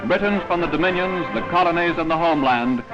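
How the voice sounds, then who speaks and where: High-pitched 1940s newsreel narrator, man. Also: quick pace. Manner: dramatic, declamatory. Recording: dull 1940s newsreel soundtrack. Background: music.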